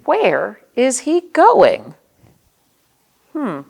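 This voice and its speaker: Animated woman hosting children's storytime, slightly creaky. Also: fairly high pitch, drawn-out words. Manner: expressive, asks the listening children questions. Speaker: children's librarian and storytime host. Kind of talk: read-aloud storytime for young children.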